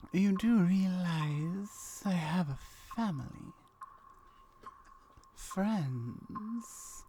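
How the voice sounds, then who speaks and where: A woman voicing a lonely, guarded gorgon in ASMR roleplay, speaking softly in hesitant, formal phrases that often drop near a whisper. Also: on the low side, slight background noise, heavily acted. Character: lonely, guarded female gorgon, wary yet curious.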